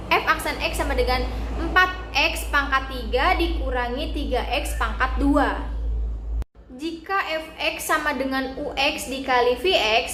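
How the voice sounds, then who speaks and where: A young woman with a high, bright voice explains math rules one careful step at a time, like a student presenting for class. Her voice rings around an echoey room, with a faint hiss underneath. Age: young adult. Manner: steady, methodical step-by-step explaining. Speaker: pharmacy student.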